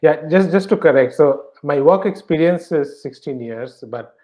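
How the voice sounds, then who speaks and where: A man from eastern India, a native Bengali speaker with a Bengali Indian accent, answering casually in an interview at home in halting, repetitive phrases. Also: on the high side, expressive, mellow. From West Bengal, eastern India. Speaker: podcast guest, bank professional.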